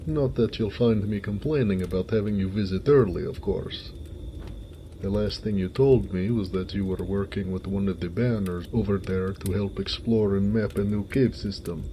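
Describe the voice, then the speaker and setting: A man playing a big, warm-hearted, jovial orc blacksmith in an affectionate, folksy, one-sided romantic audio roleplay. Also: low-pitched, normal speed, slight background noise. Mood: warm, attentive.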